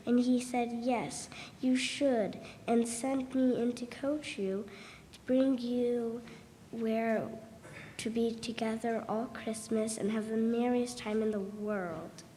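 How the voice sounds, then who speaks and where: A woman's voice: on the high side, mellow. A woman playing an eager, affectionate little girl in an amateur dramatic reading. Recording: reverberant hall, faint noise.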